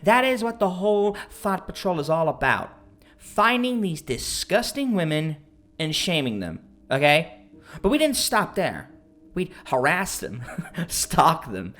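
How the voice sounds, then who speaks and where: Audio drama: young male online creep, self-pitying, boastful, theatrical, very animated delivery. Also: on the high side. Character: bitter, boastful young male internet misogynist, pleading then ranting.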